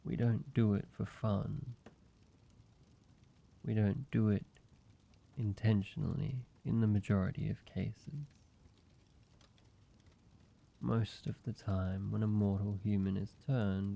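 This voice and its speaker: A man voicing an ancient vampire in an intimate roleplay audio, speaking in a measured, halting, solemn way, like a weary father telling his past. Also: on the low side, gravelly, warm tone, full character voice. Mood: grave, patient.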